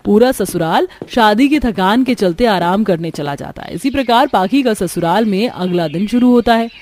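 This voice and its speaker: A woman's voice: mid-range voice, animated, moderate pace, darkish tone, slight background noise. A woman storyteller giving scripted narration for a cartoon story. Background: faint music.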